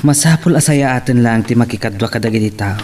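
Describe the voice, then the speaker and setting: A man's voice: mid-range voice, fast talker, far from the mic, noisy background, close to an everyday voice. A man with an Ilocano accent playing a lovelorn business owner in a romance radio drama. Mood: brooding. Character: lovelorn male boss and business owner, envying his friend.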